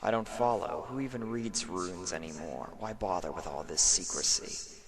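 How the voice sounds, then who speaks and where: Man as a hushed, doubtful unicorn scribe. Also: low-pitched, normal speed, crowd noise. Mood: puzzled, doubtful. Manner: hushed, questioning.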